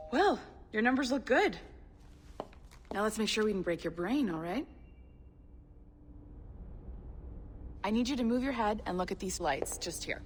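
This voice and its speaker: An actress playing a brisk, upbeat company project supervisor, encouraging and breezily professional, in a video game cutscene. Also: female voice, mid-range voice, slight background noise, close to an everyday voice.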